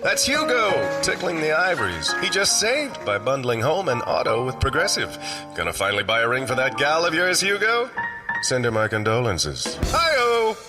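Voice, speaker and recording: A man's voice: medium pitch, huge pitch swings, normal speed. A man playing a jokey lounge singer and emcee in a radio ad, with showbiz patter and corny one-liners. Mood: schmaltzy. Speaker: ad character. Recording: loud piano music behind the voice.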